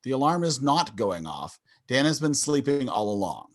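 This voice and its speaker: A man narrates a screenplay's stage directions. His voice is medium in pitch with lively, varied intonation, in a scripted reading style. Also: normal speed. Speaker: narrator of a table read. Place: indoors, on a video call.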